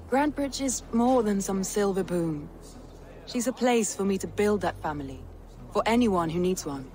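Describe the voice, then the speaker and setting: Woman playing low-voiced stern Viking clan leader. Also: a little mellow, lightly acted. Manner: measured, solemn delivery. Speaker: video game character. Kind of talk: dramatic video game cutscene acting.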